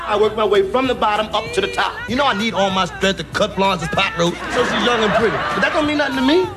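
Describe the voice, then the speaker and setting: An actor playing a loud, boastful, cranky American sitcom husband in an old television comedy clip. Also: male voice, high voice, fast, over loud music, over a noisy crowd, lightly acted. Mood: boastful, indignant.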